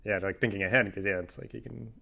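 A man with a fairly low voice hosts a relaxed podcast chat. He rambles through his thoughts with plenty of "uh" and "like" as he wraps things up. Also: fast talker, vocal fry, husky. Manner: filler-heavy, rambling with many "like" and "uh". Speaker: podcast host. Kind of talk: casual interview chat.

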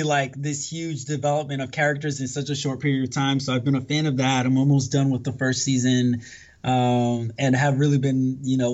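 A man born in the Dominican Republic, a podcast guest talking in a reflective, casual way, often tagging his points with "right?" and filling pauses with "um". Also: medium pitch, muffled recording. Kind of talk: casual conversational interview.

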